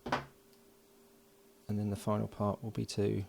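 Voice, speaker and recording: A man with a low, gravelly voice talks through his electronics build at a home workbench. He is relaxed and thinks aloud, with plenty of ums and uhs. A faint hiss sits under the camera mic. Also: takes it slowly. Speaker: electronics hobbyist. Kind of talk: casual build narration.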